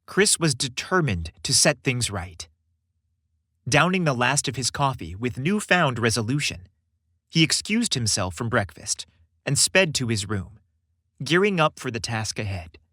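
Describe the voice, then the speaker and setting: A man hosting a podcast and narrating a scripted story in a theatrical, expressive way. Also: mid-range voice, measured pace, dry recording, clean studio sound.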